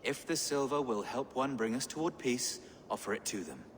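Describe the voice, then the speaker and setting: A man's voice: mid-range voice, expressive, moderate pace, close to an everyday voice. Casting: male actor for worried churchman, game cutscene; earnest, formal, medium register, faint noise. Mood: urgent calming. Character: pleading, pious, anxious male bishop. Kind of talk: dramatic video game acting. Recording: reverberant hall, faint noise.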